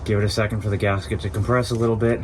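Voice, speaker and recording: A man with a fairly low voice, congested and sniffly from a cold, gives a hands-on DIY tutorial. He speaks casually and plainly, explaining each step as he works. Clear background noise from the work surrounds his voice. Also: little pitch movement, very fast. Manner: plain-spoken, talking through each step. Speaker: DIY car repair host. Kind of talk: hands-on tutorial, casual talk while working.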